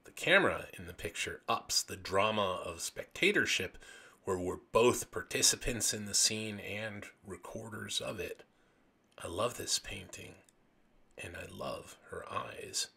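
A man with a gravelly, raspy voice narrating an illustrated commentary as host, with enthusiastic, dramatic emphasis on phrases. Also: on the low side, lively intonation, unhurried, pushed and bright. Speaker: host of a video series on vintage pulp magazines.

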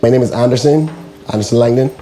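A man from Barbados in his thirties, an executive director, speaking in a measured, reflective documentary interview. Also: mid-range voice, mellow. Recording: faint music under voice. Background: faint music.

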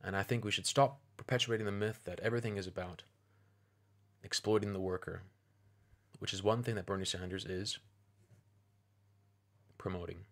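A man with a low, deep voice delivers video commentary over clips. He speaks in a measured, deliberate way, building his points in steady, persuasive phrases.

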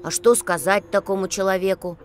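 A woman's voice: mid-range voice, little pitch movement, brisk, faint music behind, close to an everyday voice. A woman voicing a cheerful young girl who reads out viewers' letters in a children's cartoon. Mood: eager.

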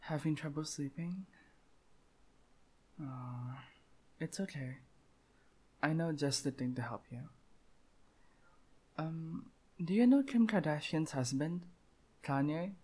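A man's voice: a little high, lively intonation, takes it slowly, pauses often, dark tone. A young man with a lisp doing intimate boyfriend ASMR roleplay in a playful, teasing manner.

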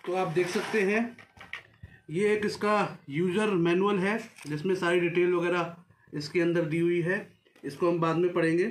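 Guy doing a casual unboxing, highish voice, roomy sound w a bit of noise. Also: fairly even intonation, normal speed. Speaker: vlogger presenting a product unboxing. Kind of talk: casual hands-on demonstration. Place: small furnished office room, indoors. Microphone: camera a few feet away across a desk. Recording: roomy echo, faint background noise.

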